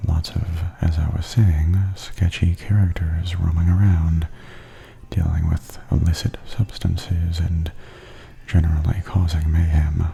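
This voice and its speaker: A man giving softly spoken ASMR tour commentary in a breathy, near-whispered, gentle and unhurried murmur. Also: low voice, level tone.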